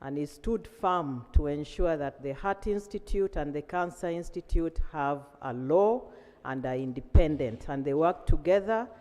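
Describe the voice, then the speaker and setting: A man's voice: fairly high pitch, normal speed, warm tone. A man with a Ugandan accent, a government whip, giving an orderly formal condolence speech. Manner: orderly, enumerating points. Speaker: member of parliament, government chief whip. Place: large parliamentary chamber. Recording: echoey hall with long reverb.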